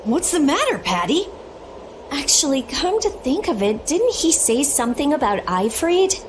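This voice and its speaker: A woman voicing an excitable, outspoken, impatient young adventurer in a video game, with emphatic, indignant delivery. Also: high voice, moderate pace, background noise. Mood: indignant, questioning. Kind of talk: dramatic video game voice acting.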